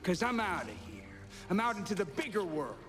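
Swooping, sarcastic film villain. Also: male voice, high voice, big pitch swings, fairly quick, music in the background. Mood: sneering, threatening. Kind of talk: live-action film acting.

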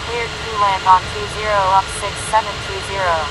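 A woman acts as a businesslike airport radio operator in a flight control game. She delivers scripted phraseology in a formal, clipped way at a medium pitch. Very loud jet engines run behind her. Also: fairly even intonation, fairly quick, very noisy, lightly acted. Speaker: air traffic radio voice. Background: aircraft engines and airport ambience.

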